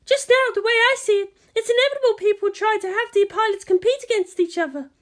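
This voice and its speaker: A woman's voice: extremely high pitch, quick pace, pitched above the performer's own voice. A woman voicing an eager, shy young female tech assistant in an anime story, breathless and deferential. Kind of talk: anime fan fiction character voice.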